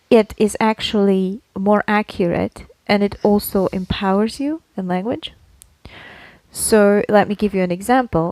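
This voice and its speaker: A woman's voice: medium pitch, a little mellow, dry recording, poor audio. A woman co-hosting a casual podcast, explaining her points in a playfully argumentative way. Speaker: podcast co-host. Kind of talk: casual conversational podcast talk.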